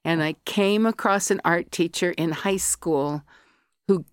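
A woman's voice: lowish voice, unhurried, clean tone, no room sound, clean studio sound. A middle-aged American woman from Colorado, an artist and academic, chatting in a podcast interview and drifting into opinionated tangents.

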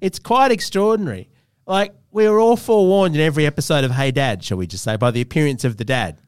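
Higher-voiced man, lively and wry. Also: quick pace, smooth, dry recording, studio quality. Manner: wry, teasing. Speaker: podcast host. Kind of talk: casual comic interview.

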